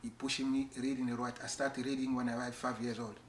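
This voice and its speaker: Earnest man from Congo, halting English. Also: medium pitch, flat delivery, echoey room, slight background noise. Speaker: interviewee. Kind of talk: documentary interview.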